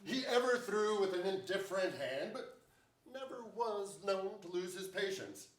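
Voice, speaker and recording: A man's voice: high voice, expressive, normal speed. An older man playing a bold, forthright royal officer, declaiming emphatic Shakespearean verse in a staged reading. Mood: grave reporting. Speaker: stage actor. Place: large indoor theater stage before an audience. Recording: echoey theater, distant microphone.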